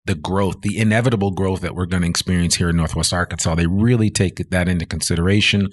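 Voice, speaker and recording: A man hosting a relaxed podcast chat, from New Jersey, with a lowish voice. He talks in a loose, rambling way, piling on asides and examples as he goes. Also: fast. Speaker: podcast host. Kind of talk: casual interview chat.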